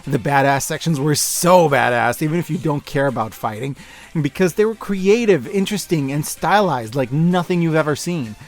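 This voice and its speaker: A man's voice: a little high, expressive, moderate pace. A man in his thirties giving scripted, enthusiastic video-essay commentary as a host, in an emphatic, gushing, informal way. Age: 30s. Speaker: host of a year-in-review media video. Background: music.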